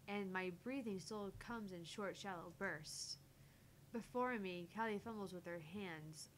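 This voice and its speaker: A woman streamer reading a visual novel aloud as theatrical first-person narration, with deliberate phrasing. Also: fairly low pitch, rough recording. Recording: loud background noise, electrical hum, clicks.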